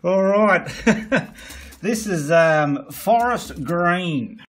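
A man's voice: sits high, animated, extremely slow, a bit bright. A man giving a casual, blunt painting tutorial while he works at an easel, with the odd mild swear.

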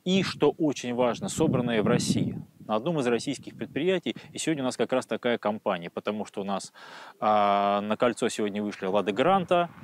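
A man in his forties, a test expert explaining on camera with careful, pausing phrasing, recorded outdoors on a lapel mic. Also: mid-range voice, animated, slight background noise. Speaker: car endurance test expert. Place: outdoors on an open tarmac test track.

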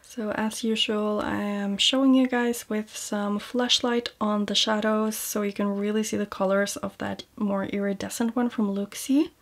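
A woman's voice: medium pitch, steady tone, moderate pace, few pauses. Young woman, makeup vlogger at home, friendly and upbeat, mid-range voice. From Norway. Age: young adult. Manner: chatty and upbeat, drops to soft near-whisper at times. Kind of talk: beauty vlog, talking to camera. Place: small room indoors, home. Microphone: seated at desk facing camera.

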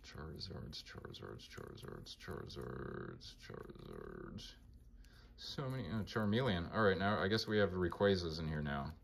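A man with a monotone voice casually talking through his card collection, mumbling names as he flips through binders. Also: sits low, normal speed, vocal fry, a little hiss or hum. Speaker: collector vlogger.

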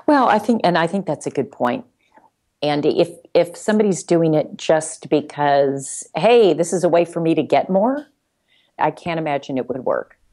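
A woman chatting casually as a podcast guest, haltingly, often repeating words as she thinks. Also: low-pitched, lively intonation, warm tone. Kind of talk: casual conversation.

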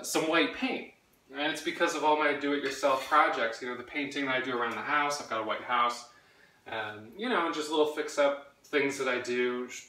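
A man's voice: medium pitch, far from the mic. Chatty man talking while cooking. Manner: rambling, relaxed, many fillers while chopping. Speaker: host cooking on camera. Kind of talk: casual cooking vlog. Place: home kitchen, indoors. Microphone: camera across the kitchen. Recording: echoey kitchen, voice from off-mic.

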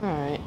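A woman streamer with a deep, low voice talks slowly through a game, joking at her own mistakes and humming to herself. Game noise rumbles clearly under her voice. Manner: chatty, self-mocking, giggly, hums while searching. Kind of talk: casual gameplay commentary.

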